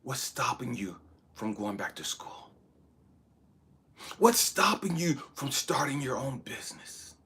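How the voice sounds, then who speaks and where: A man giving a blunt, profane motivational talk, shouting one moment and dropping to hushed asides the next. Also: mid-range voice, sing-song, takes it slowly, long pauses, husky. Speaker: motivational speaker. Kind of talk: impassioned motivational monologue.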